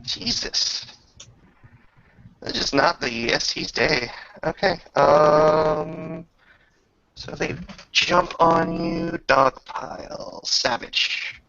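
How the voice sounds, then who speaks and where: Gravelly man running a tabletop game. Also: a little high, very slow, sharp tone. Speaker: game master. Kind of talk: running a casual tabletop roleplaying session. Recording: dull lo-fi recording.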